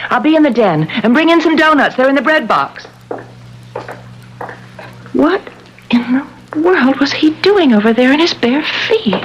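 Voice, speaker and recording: A woman's voice: high-pitched, expressive, unhurried, pauses often, highs cut off. A woman playing an observant, uneasy wife in a haunted house, acted conversationally for a 1970s radio mystery. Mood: sociable, brisk. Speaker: radio drama actor. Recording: noisy 1970s radio broadcast recording.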